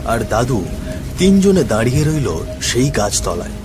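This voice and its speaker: Male narrator, scripted ghost tale, lively swooping intonation, mid pitch, music underneath. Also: moderate pace. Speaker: storyteller narrator.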